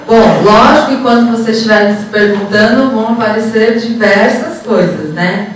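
A woman's voice: on the high side, normal speed. Heard through heavy room echo and some noise, a woman talks to an auditorium. She is in her thirties and lectures informally on a handheld mic. She keeps it conversational, often tossing short check-in questions to the listeners. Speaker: psychologist and HR professional giving a lecture. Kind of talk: informal motivational lecture to students. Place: auditorium, speaking to an audience. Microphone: handheld microphone through room speakers, distant recording. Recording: echoey hall from far off, noisy.

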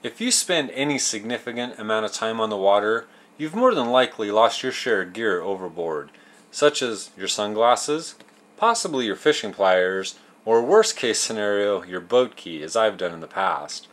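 A man's voice: fairly low pitch, lively intonation, moderate pace, fairly bright tone. A man in his thirties narrating a how-to as show host, explaining step by step in plain, folksy words. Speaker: host of a fishing tips show.

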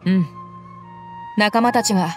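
A woman's voice: medium pitch, measured pace, soft background music, natural voice. Woman voicing a blunt young mercenary. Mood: tough. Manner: rough, casual, relaxed speech. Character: tough young female mercenary, blunt and easygoing.

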